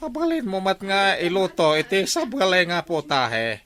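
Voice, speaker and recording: An actor with an Ilocano accent playing a hungry, joking middle-aged compadre in a radio comedy, laughing and teasing often. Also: male voice, high-pitched, sing-song, normal speed, pushed and bright, full character voice. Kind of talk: 1950s-style radio comedy acting.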